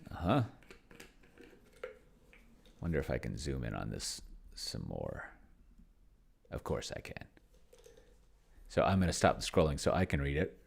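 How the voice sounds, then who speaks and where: A man's voice: a bass voice, sing-song, unhurried, vocal fry, pauses often. A man doing casual screen-share commentary on a tabletop game, thinking aloud and muttering to himself in a rambling way. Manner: rambling, muttering to himself, reads out text and what he types. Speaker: solo tabletop game player and streamer.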